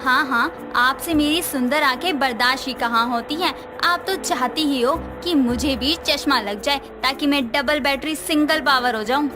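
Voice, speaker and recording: A woman's voice: sits high, unhurried, few pauses. A woman playing a vain, scheming young sister-in-law who teases sarcastically in a cartoon moral story, with music behind her. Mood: sarcastic. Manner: teasing, sarcastic. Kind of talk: animated Hindi moral story dialogue. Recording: music bed under the voice.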